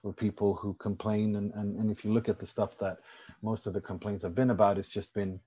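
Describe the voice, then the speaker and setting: A man's voice: on the low side, flat delivery, brisk, warm tone, breathy edge. Assertive man on a dull lo-fi line. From Sweden. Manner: assertive, explains at length. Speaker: podcast guest, football journalist. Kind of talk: casual podcast discussion.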